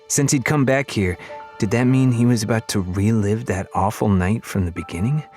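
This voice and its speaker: A man reading a children's fantasy novel as an audiobook narrator, in expressive, dramatic storytelling style. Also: lowish voice, moderate pace, faint music behind.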